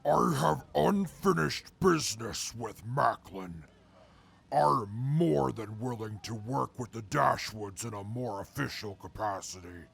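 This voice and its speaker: A man acting in an audio drama as a grim, determined wasteland fighter with a gravelly, raspy voice. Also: medium pitch, animated, a little slow, exaggerated voice.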